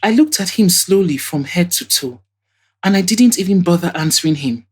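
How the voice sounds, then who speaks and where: A woman's voice: fairly low pitch, lively intonation, normal speed, husky. A woman voicing a chatty, scornful female witness in an audio drama, telling things emphatically with indignant asides.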